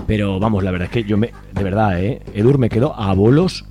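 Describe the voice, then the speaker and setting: A man's voice: lowish voice, a little fast, dark tone, natural voice. A man with an accent from Spain playing a randy, wheedling young protester in a comic radio serial. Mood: chatty, cheeky. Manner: wheedling, colloquial. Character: randy, pushy, camp young male protester. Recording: busy crowd sound behind.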